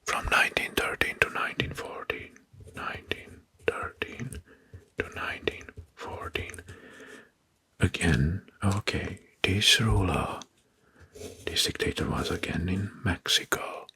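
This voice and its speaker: A man narrating soft-spoken ASMR in a breathy, husky whisper, deliberately repeating names and phrases. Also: deep voice. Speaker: host of an ASMR history channel.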